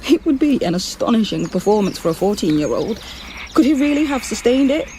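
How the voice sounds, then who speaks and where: A woman's voice: on the high side, very animated, brisk, warm tone, slight background noise, low-quality recording. An actress playing a determined, frustrated undercover policewoman in a naturalistic radio drama, speaking in an intense, insistent way. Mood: earnest, urgent.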